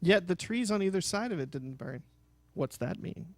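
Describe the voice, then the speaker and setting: An American man with a high-pitched voice co-hosting a talk show, chatting casually in a jokey, rambling way. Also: lively intonation, normal speed, a little mellow, no room sound. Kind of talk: joking casual chat. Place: studio of a local TV station, small audience.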